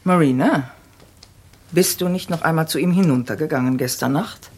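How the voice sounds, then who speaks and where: A woman's voice: low voice, moderate pace, a little mellow, breathy edge. An actress playing a stern, inquisitive middle-aged aunt in a radio crime play, composed and pointed in her questioning. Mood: probing, insistent.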